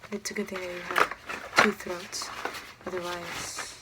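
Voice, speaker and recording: A young woman whispering breathily in an ASMR roleplay as a doctor, slow, careful and soothing. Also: on the low side, flat delivery, very noisy. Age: young adult. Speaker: ASMR performer playing a doctor.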